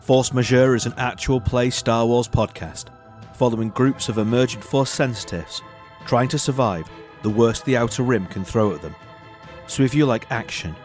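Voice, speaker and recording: A man's voice: lowish voice, darkish tone. A man reading a scripted trailer as a promo narrator.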